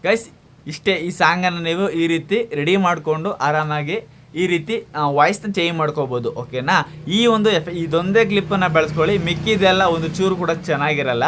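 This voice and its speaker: A man's voice: a little high, moderate pace, fairly bright tone, a little hiss or hum. A man hosting a casual how-to video, addressing viewers directly and often checking that they follow. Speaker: video tutorial host.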